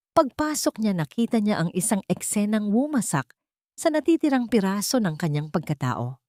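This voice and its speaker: A woman's voice: mid-range voice, dark tone, no room sound, studio quality. A woman reading an emotional story as a dramatic scripted narration.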